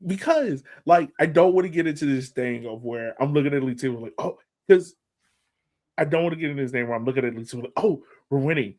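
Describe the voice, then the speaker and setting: A man's voice: mid-range voice, fast, darkish tone. A man co-hosts a casual sports podcast. He speaks in a medium register with an emphatic fan's delivery. He often restates a phrase to make his point. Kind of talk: casual sports fan chat.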